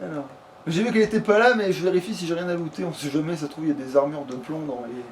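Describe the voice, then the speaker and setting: A man's voice: a little high, racing, slight background noise. A man hosting a video game let's play, chatting casually in a rambling way with crude jokes. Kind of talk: casual gaming chat.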